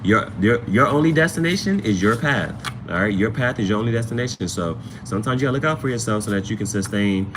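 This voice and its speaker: A man's voice: lowish voice, lively intonation, quick pace, hardly any pauses, noisy background. A man hosting a casual tarot livestream, chatting informally to viewers and rambling, often repeating phrases for emphasis. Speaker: livestream host and amateur tarot reader.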